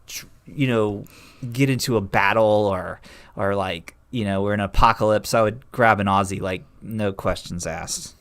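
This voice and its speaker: A man's voice: fairly low pitch, normal speed, a bit bright, smooth. A man hosting a casual podcast chat, asking questions and teasing his guests. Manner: chatty, teasing, asks the questions. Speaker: host.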